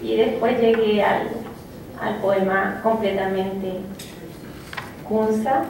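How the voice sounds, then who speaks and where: A woman poet giving a reflective, halting personal talk, with many fillers and restarts. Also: medium pitch, steady tone. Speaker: poet speaking of her native language. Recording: echoey room, from a distance, faint noise.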